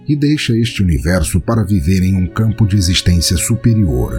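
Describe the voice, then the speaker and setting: A man's voice: sits low, animated, moderate pace, music in the background. A man with a Brazilian accent doing scripted storytelling and podcast hosting in a theatrical, florid manner. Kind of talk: scripted audio-drama narration and hosting.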